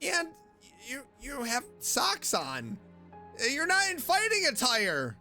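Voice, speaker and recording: Animated, bright-voiced game streamer man. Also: extremely high pitch, takes it slowly, soft background music. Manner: playful, animated reactions, teasing. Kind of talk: gameplay commentary.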